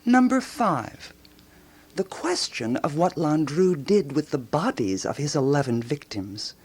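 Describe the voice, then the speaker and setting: A woman acting in a radio drama as a ruminative amateur detective who theorizes aloud with deliberate, rhetorical phrasing and dramatic pauses. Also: sits low, very animated, a little mellow, breathy edge, exaggerated voice. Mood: intrigued, brooding.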